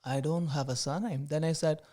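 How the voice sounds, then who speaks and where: A man's voice: a little high, steady tone, normal speed, mellow. A man from Tamil Nadu whose first language is Tamil, speaking English with a Tamil Indian accent, chatting as an interview guest with many fillers and restarts. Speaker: guest, religious brother in training. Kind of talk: casual interview chat.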